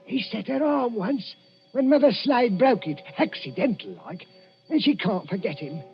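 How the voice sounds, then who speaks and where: An actor playing a timid, anxious Cockney accomplice in a radio thriller, nervous and pleading. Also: male voice, very high voice, expressive, normal speed. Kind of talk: 1940s radio thriller acting. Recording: muffled vintage radio recording, music behind.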